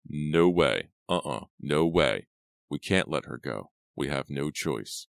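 Menacing kidnapper voiced by a man: nasty, suspicious, curt growl, extremely low pitch, dry studio sound. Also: lively intonation, measured pace, gravelly, fairly bright tone, no echo, exaggerated voice. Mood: gruff, nasty.

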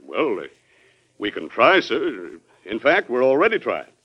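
An actor playing a defensive, deferential state governor in a classic radio drama, shifting between hesitant deference and emphatic self-justification. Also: male voice, mid-range voice, wildly swooping pitch, measured pace, husky, exaggerated voice. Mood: uneasy, placating. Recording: dull old radio broadcast recording.

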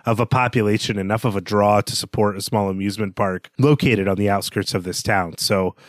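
A man's voice: fairly low pitch, fairly even intonation, brisk, dry recording, clean studio sound. A man co-hosting a literary podcast, talking conversationally and discursively with wry asides. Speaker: podcast co-host.

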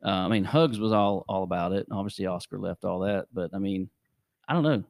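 A man's voice: low-pitched, fairly quick, vocal fry, a little mellow, no room sound, clean studio sound. Rambling man in his forties. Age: 40s. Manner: rambling, hesitant, many fillers. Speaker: podcast host. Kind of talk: casual sports chat.

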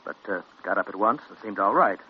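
A man's voice: lowish voice, expressive, quick pace, lightly acted. Man acting a formal, worried businessman father. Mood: concerned. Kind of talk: 1940s radio drama acting. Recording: muffled, hissy old radio recording.

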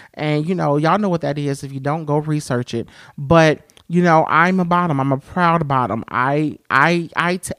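A man with a high-pitched voice hosting a podcast, talking frankly and expressively with many "you know" fillers. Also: no room sound, studio quality. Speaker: podcast host. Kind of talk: candid solo podcast monologue.

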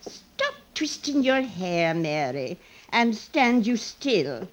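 An actress playing a hard, mercenary orphanage mistress in an old radio drama, with a sly, falsely sweet drawl. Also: female voice, mid-range voice, big pitch swings, slow pace, lo-fi sound, slight background noise. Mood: coaxing, stern. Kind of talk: 1950s radio drama acting.

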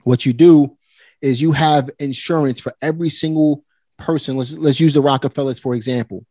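A forty-year-old man talks in a relaxed, motivating way, speaking right to his listeners. His voice sits at an ordinary middle pitch and is recorded dry and close with no room sound. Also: moderate pace, no echo. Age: 40s. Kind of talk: casual conversation.

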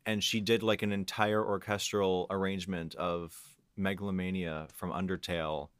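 A man's voice: sits low, flat delivery, moderate pace, a bit bright, clean tone, no echo. A man chatting casually as a guest on a podcast.

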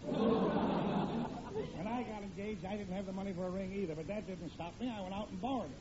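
A man's voice: high voice, normal speed, a crowd in the background, natural voice. An actor playing a crabby, nagging father of a grown daughter in an old radio comedy. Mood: scornful. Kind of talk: old-time radio sitcom acting. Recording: muffled old radio with audience noise.

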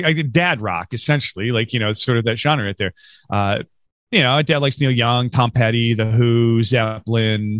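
A man's voice: medium pitch, fairly bright tone, no echo, clean studio sound. A man from Western New York, chatting as a podcast guest in a rambling, self-deprecating way with frequent laughs. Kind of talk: casual interview chat.

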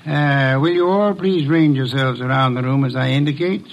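An actor playing an imperious, eccentric older detective in a vintage radio mystery, speaking formally and deliberately with pompous weight. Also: male voice, medium pitch, highs cut off, slight background noise, close to an everyday voice. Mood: commanding, deliberate. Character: imperious, eccentric genius male private detective. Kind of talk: 1950s American radio mystery acting. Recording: vintage radio drama recording.

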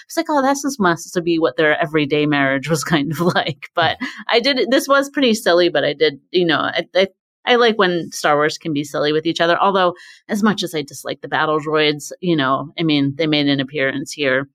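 Female podcast co-host, slightly low voice, informal and giggly conversational delivery. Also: lively intonation, fairly quick, fairly bright tone. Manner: giggly, breaks into laughs mid-sentence. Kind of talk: casual panel chat about a TV show.

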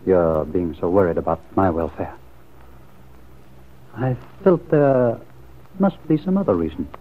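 A man's voice: low voice, expressive, a little slow. An actor playing a suspicious, brooding man in a classic radio soap opera, earnest and probing, with hesitant phrasing. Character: suspicious, brooding lover pressing for the truth. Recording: muffled lo-fi vintage radio recording.

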